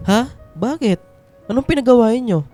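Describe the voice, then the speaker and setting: An actor playing a caring, reassuring young husband in a radio drama, speaking with gentle concern. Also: male voice, very high-pitched, animated, normal speed, faint music behind. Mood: concerned, surprised.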